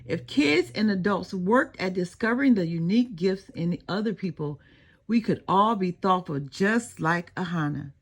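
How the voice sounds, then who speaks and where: Woman reading as a reassuring dad, gravelly and expressive. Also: lowish voice, moderate pace, pitched below the performer's own voice. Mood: proud, instructive. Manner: gently instructive, reassuring. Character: caring, wise, encouraging father of a young boy.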